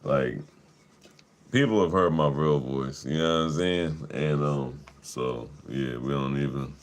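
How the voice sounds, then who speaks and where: A man with a deep voice, hosting a livestream in casual chat, laid-back and profane, laughing often. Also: a little slow. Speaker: livestream host.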